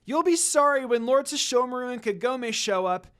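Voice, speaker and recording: A story reader plays a feisty little orphan girl from an anime tale. She is high-pitched and loud, stubbornly indignant and insistent. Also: animated, moderate pace, smooth, natural voice. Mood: angry, defiant.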